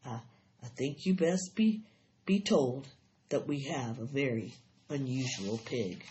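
A woman voicing an earnest, shaken farmer husband from a storybook, grave, halting and folksy. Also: sits low, big pitch swings, darkish tone, highs cut off, slight background noise. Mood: shaken, solemn.

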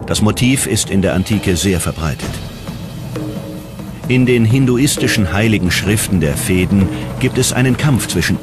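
A man with a very low voice narrates a scripted documentary. His delivery is dramatic and measured, with strongly varied, animated intonation. Music plays behind his voice.

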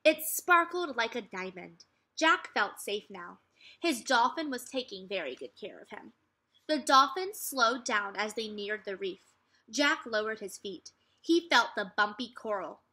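A young woman reads a children's storybook in a high, very lively voice. Her tone is bright and crisp-edged, and she narrates expressively, phrase by phrase, for young listeners. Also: unhurried. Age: young adult. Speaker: teacher reading aloud to second graders. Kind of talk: children's storybook read-aloud. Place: furnished room at home, indoors.

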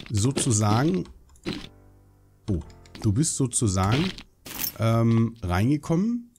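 A young man with a cold, chatting casually and rambling as he hosts a Minecraft let's play, swearing now and then. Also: fairly low pitch. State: hoarse from a cold. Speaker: gaming channel host.

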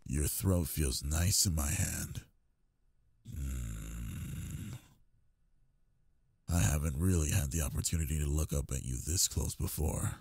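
A young man playing a brooding, possessive delinquent goth bully in an intimate ASMR roleplay, with a low, husky voice and a domineering murmured drawl. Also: warm tone, dry recording, exaggerated voice.

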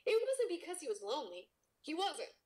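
A woman's voice: high voice, huge pitch swings, fairly quick, darkish tone. A woman podcast host reading a story aloud in a dramatic, playful way, with casual comic asides.

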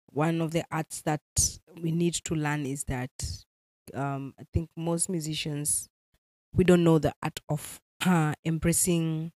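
Kenyan woman, lively guest. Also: sits low, no echo. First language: Swahili. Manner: animated, mixing in Swahili phrases. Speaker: gospel musician guest. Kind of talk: casual interview.

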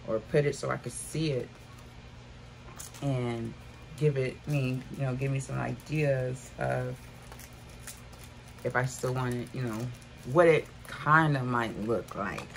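A woman's voice: very deep voice, slow pace, gravelly, long pauses, slight background noise, rough recording. A woman in her fifties chatting casually to viewers from her bedroom about items she bought, calling them y'all. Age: 50s. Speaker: haul vlogger. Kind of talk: casual haul chat. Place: bedroom at home.

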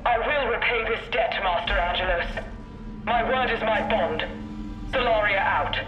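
An actress plays a dutiful woman who leads a knightly house. Her speech is formal and earnest, in dramatic game style. Loud music plays behind her. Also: medium pitch, unhurried, highs cut off, close to an everyday voice. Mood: weary, grateful. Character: noble, dutiful female knight leader. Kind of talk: dramatic video game acting.

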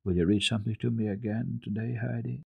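A man voicing a frail, kindly, pious old grandmother in a storybook, with a gentle, reassuring manner. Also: fairly low pitch, fairly even intonation, fast talker, vocal fry, breathy edge, no echo, narrow, tinny audio, pitched above the performer's own voice, full character voice. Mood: grateful, gentle.

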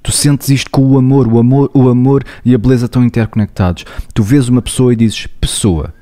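A man's voice: mid-range voice, steady tone, fairly quick, dark tone. A young man with a European Portuguese accent, co-hosting a podcast, explaining ideas earnestly and talking straight to listeners. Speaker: podcast co-host, psychologist. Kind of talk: casual podcast chat.

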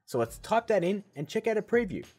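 A man hosting a software tutorial, explaining steps in a casual, upbeat way. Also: high-pitched, big pitch swings, a little fast, husky, faint music behind. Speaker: video tutorial host.

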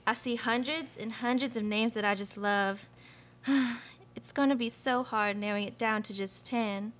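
A young woman performs a sweet belle for a radio comedy. She gushes and chatters obliviously in a fairly high voice. Her is sugary. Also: steady tone, moderate pace, fairly bright tone, natural voice. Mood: sugary, gushing. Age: young adult. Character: gushing, baby-crazy sweet Southern belle Peaches. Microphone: stage microphone on stand.

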